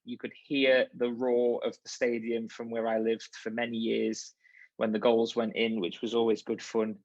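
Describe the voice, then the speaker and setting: A man talks to new students in an easy, chatty way, encouraging them along. His voice sits at a middle pitch. The audio is dull and lo-fi, as over a video call. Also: completely flat delivery, normal speed, a little mellow. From Liverpool, England. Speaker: university chaplain and events coordinator. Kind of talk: informal online welcome talk.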